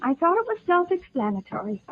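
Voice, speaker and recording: A woman's voice: extremely high pitch, sing-song, fairly quick, no echo. A woman playing a prim, jealous secretary with an arch, icily polite manner in a 1940s radio drama. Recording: tinny old radio recording.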